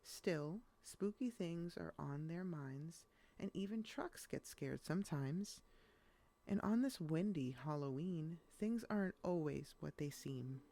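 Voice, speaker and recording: Woman doing a kids book read aloud, lowish voice, takes her time, expressive. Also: slow pace. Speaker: storyteller reading a children's book.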